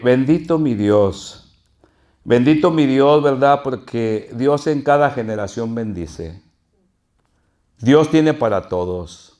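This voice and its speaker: A man's voice: medium pitch, a little mellow. An older man with a northern Mexican accent, a pastor preaching and telling a folksy personal story with chuckles. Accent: Mexican Spanish, northern.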